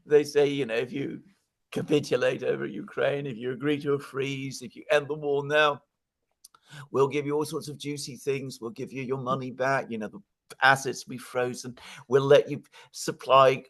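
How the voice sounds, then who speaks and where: A man's voice: mid-range voice, little pitch movement, moderate pace. Man giving emphatic political commentary. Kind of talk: conversational political analysis.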